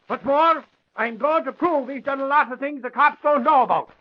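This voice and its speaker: Shrill, excitable newshound. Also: male voice, moderate pace. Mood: indignant, stubborn. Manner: folksy, blustering exclamations. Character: excitable, stubborn, blustering male newspaper reporter. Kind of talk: old-time radio drama acting. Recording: dull vintage radio recording.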